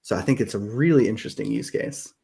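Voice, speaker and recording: A man with a lowish voice hosting a podcast live stream. He talks in an eager, rambling way, full of fillers and restarts. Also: quick pace, vocal fry, dark tone. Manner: enthusiastic, rambling, many fillers. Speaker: podcast host.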